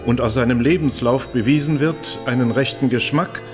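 A man delivering a solemn devotional recitation in a loud, slow, declamatory way. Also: mid-range voice, natural voice. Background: music.

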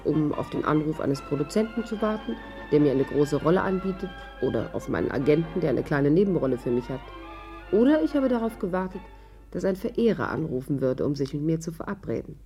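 An actress playing a sharp-tongued, wary woman who tells her own story with dry sarcasm in a 1950s German radio drama. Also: sits low, lively intonation, brisk, faint music behind. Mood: wry, confiding. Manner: sardonic, dry. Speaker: actress playing the lead and narrator. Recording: vintage 1950s radio production.

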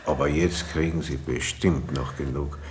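An actor playing an opportunistic, self-important schoolteacher, dithering yet emphatic, in a German radio play. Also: male voice, extremely low pitch, fairly even intonation, moderate pace, slight background noise. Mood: uneasy, chatty.

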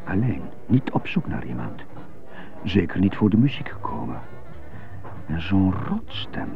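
Through a thin, phone-like recording with music behind, a brooding, indignant prisoner in a radio play. His pitch swings as he mutters questions to himself in short bursts, with a stutter that surfaces on some words. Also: big pitch swings, measured pace, vocal fry, exaggerated voice. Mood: brooding, subdued. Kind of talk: radio drama monologue. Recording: muffled narrow phone-like sound, music behind.

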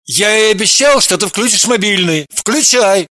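A man playing an easygoing, teasing, sometimes puzzled man in an audiobook, with an excitable manner. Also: high voice, normal speed, dry recording. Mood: insistent. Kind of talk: audiobook character acting.

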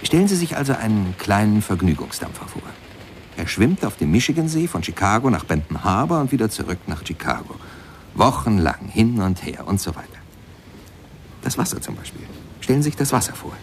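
An actor playing a reflective former steamboat pianist who tells his memories in measured, lyrical radio drama narration. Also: on the low side, big pitch swings, slight background noise. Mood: wistful storytelling. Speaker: narrator and protagonist of a radio play.

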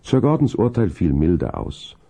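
A man narrating a seventies German radio play as a calm, kindly storyteller in measured, scripted prose. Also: low voice, creaky, breathy edge, faint music behind, full character voice. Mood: measured storytelling.

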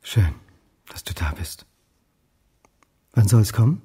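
A deep, rough-breathed man in a crime radio drama, middle-aged and brooding. His murmured, introspective delivery is very dry and close, with a bright edge. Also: lively intonation, takes it slowly, no room sound, full character voice. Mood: tender, surprised. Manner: introspective, murmured monologue dropping to a whisper. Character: brooding male historian held in custody. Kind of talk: German crime radio play acting.

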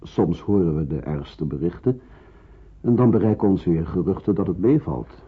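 Deep, husky, fatherly old-radio pastor. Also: animated. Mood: grave, sympathetic. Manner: measured, solemn, reassuring. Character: kindly, grave Protestant pastor, fatherly and reassuring. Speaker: actor in a radio play. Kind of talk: Dutch radio drama acting. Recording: muffled, phone-like old radio recording.

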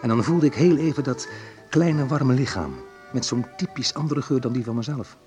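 A man's voice: mid-range voice, moderate pace, a little mellow, faint music behind, lightly acted. An actor playing a wistful, reflective man who recalls awkward teenage love in an intimate radio play monologue. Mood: wry recollection.

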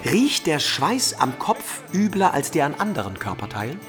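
An actor playing an eccentric, obsessive medieval wandering scholar in a German radio play, pedantic, theatrical and emphatic. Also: male voice, on the high side, sing-song, moderate pace, a bit bright. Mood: provocative questioning. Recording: studio radio drama over music.

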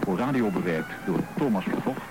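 A man's voice: sits low, lively intonation, brisk, rough recording. A man reading a scripted introduction as a radio announcer. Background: loud music.

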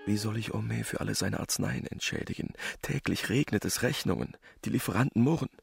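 An actor playing a worried, devoted husband burdened by debts in a radio drama, with an anxious, earnest manner. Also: male voice, on the low side, fairly quick, gravelly, slightly rough, no echo, studio quality, exaggerated voice. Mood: harried.

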